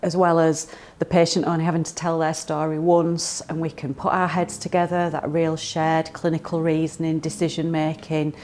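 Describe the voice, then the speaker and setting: A woman's voice: deep voice, flat delivery, moderate pace, a little mellow, a little hiss or hum. A woman physiotherapist talking about her work, reflective and earnest, with some fillers.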